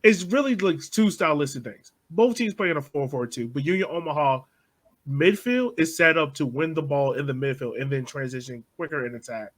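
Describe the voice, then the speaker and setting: A man co-hosting a casual sports podcast, eagerly explaining tactics with analogies and jumping in with quick agreement. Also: on the high side, expressive. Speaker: podcast co-host.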